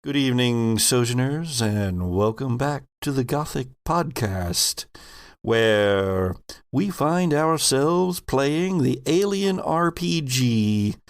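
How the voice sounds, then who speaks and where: Guy running a tabletop rpg podcast, unhurried storyteller, gravelly edge, dry close sound. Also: medium pitch, no echo. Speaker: game master and podcast host. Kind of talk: narrating an actual-play tabletop game.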